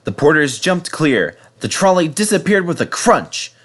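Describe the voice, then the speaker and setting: Animated male storybook narrator. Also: on the high side, moderate pace. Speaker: storybook reader.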